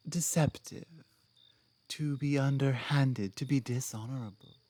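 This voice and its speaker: A woman playing a strong, proud, guarded female orc in an intimate ASMR roleplay, low-voiced, speaking in deliberate, halting phrases. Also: dark tone, no echo. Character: strong, proud, earnest female orc companion, guarded about her past. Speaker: audio roleplay performer. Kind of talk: ASMR dramatic roleplay, intimate monologue to listener.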